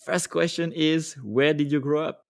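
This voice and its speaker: A man's voice: on the high side, steady tone, normal speed, creaky. A man hosting a podcast, delivering scripted introductions and interview questions. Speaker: podcast host.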